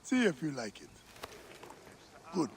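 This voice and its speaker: An actor playing a hearty, loyal warrior companion in a game cutscene, expressive, familiar and gravelly. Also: male voice, on the high side, slow, full character voice. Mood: teasing. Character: hearty, loyal male warrior friend, welcoming and teasing. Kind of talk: video game cutscene acting. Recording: long reverb, clearly noisy background.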